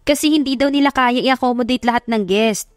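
A woman voicing a friendly, brisk older businesswoman in an audio drama, chatty and practical. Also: a little high, no room sound, clean studio sound, natural voice. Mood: friendly, businesslike. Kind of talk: Tagalog audio drama acting.

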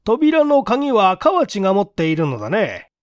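An authoritative police chief acted by a male reader interrogates in a mystery story. The speech is commanding and old-fashioned, high and very animated, recorded dry. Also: moderate pace, no echo. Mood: probing, stern. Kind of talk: dramatic audiobook character acting.

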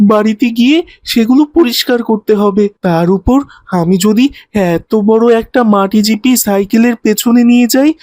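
Woman voicing a cheeky, mellow-toned child. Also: on the high side, dry recording, close to an everyday voice. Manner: mocking, grumbling. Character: cheeky, unhelpful child on a bicycle. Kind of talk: children's cartoon dialogue.

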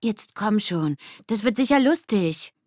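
Woman putting on an eager, chirpy schoolgirl, raised pitch. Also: lively intonation, measured pace, a little mellow, no room sound, studio quality. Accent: standard German. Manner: pleading, chirpy.

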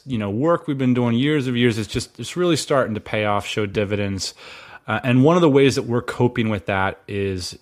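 Man in his thirties, podcast co-host, middle-pitched voice, playful and teasing. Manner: playful teasing, laughs often. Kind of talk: casual business podcast chat.

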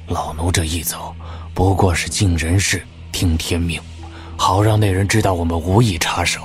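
A man playing a grave, loyal old martial master in an audio drama, solemn and measured. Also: low voice, fairly even intonation, soft background music, natural voice. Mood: resigned warning.

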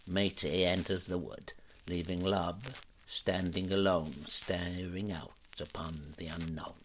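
A man acts as a solemn storyteller narrating a radio play. The voice is deep and unhurried, with measured, literary phrasing. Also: little pitch movement, rough recording.

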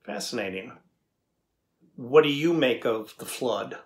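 A middle-aged man playing an earnest, curious AI researcher in a dramatized reading, asking questions deliberately. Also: medium pitch, natural voice.

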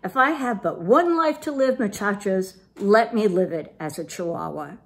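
Lady doing a show-off little kitty boy, fake spanish accent, super bouncy pitch, kids story. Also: animated, moderate pace, natural voice. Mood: boastful. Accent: mock Spanish. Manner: boastful, theatrical. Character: cocky, excitable boy kitten posing as Spanish-speaking chihuahua.

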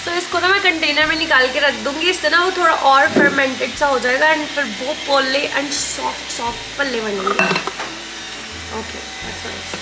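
A woman's voice: high-pitched, moderate pace. A woman, chatting casually as she cooks and slipping English words into her talk. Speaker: vlogger and home cook. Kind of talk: casual chat while cooking, mixing in English. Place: home kitchen, indoors. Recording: echoey kitchen with clear appliance noise.

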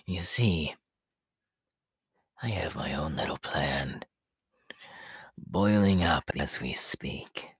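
A man voicing a sinister, power-hungry immortal body-stealer in an ASMR roleplay, sly and menacingly polite, with a raspy, half-whispered hiss. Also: rumbling low, no room sound, studio quality, full character voice. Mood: conspiratorial. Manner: slow, drawn-out, menacingly polite. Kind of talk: ASMR character roleplay monologue.

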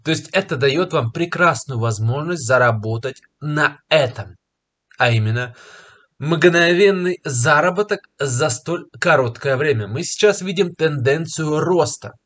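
Slightly ill young man pitching crypto. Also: lively intonation, a bit bright. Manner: confident, persuasive, pausing with filler words. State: slightly ill, clearing throat and coughing. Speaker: crypto market commentator. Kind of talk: screen-share market analysis to camera. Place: small room indoors. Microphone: webcam in a corner of a screen recording.

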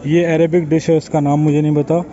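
A young man giving a relaxed, chatty walkthrough of a buffet, filming himself on a phone at arm's length. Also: on the high side, fast talker. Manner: relaxed, chatty, pointing things out as he walks. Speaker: food vlogger. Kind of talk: casual walkthrough vlog. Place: outdoor buffet at a waterfront restaurant. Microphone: phone camera held at arm's length. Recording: dull lo-fi sound with crowd chatter.

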